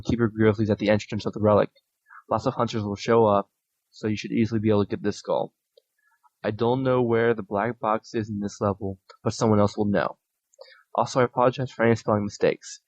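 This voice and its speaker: A man hosting a podcast, reading listener submissions aloud in a steady, plain way with small restarts. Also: lowish voice, dry recording. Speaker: podcast host. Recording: dull lo-fi audio.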